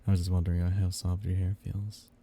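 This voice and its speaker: A man playing a tender, possessive vampire count in an intimate ASMR roleplay, speaking in soft, teasing murmurs with a husky, gravelly voice. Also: deep voice, flat delivery, a little fast, slight background noise. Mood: tender, soothing.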